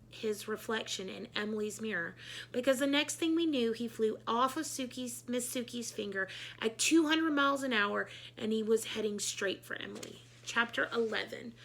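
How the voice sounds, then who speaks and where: A woman reading a children's book aloud in an animated, emphatic storytelling style. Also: a little high, creaky.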